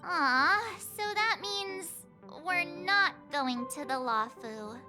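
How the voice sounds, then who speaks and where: A woman's voice: very high voice, lively intonation, slow, heavily acted. A woman voicing a bubbly, eager teenage girl traveler in a video game, perky, girlish and pleading. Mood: disappointed. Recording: game music under the voice.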